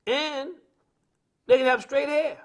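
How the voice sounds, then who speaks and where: High-voiced, emphatic American man preaching off the cuff. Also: steady tone, takes it slowly. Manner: emphatic, repetitive, colloquial storytelling. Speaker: speaker on a religious talk live stream. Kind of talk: impromptu preaching-style social commentary.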